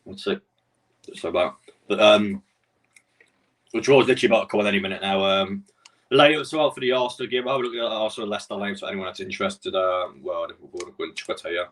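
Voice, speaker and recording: A man with a Geordie accent hosts a casual live stream and rattles along quickly in a low voice. He rambles and answers his viewers' messages as he goes. His voice sits back from the microphone, and keyboard clicks come through now and then. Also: a bit bright, far from the mic. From Newcastle.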